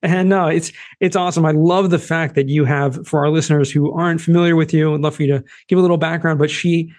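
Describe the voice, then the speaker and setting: A man's voice: on the high side, steady tone, quick pace, darkish tone. A man with a Boston accent hosting a podcast interview, chatty and rambling with lots of fillers. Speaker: podcast host. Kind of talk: casual interview chat.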